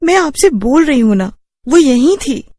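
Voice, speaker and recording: Lady doing a scared young bride for a horror cartoon, high n urgent, really swoopy. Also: very animated, measured pace, warm tone. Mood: frightened. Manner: anxious, insistent, questioning. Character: frightened young bride new to a haunted mansion.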